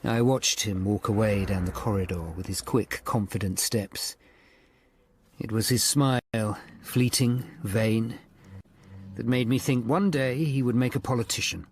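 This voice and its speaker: A watchful Tudor lawyer narrating a radio drama. His voice is on the low side. He tells his account gravely and with measured care. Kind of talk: scripted first-person drama narration.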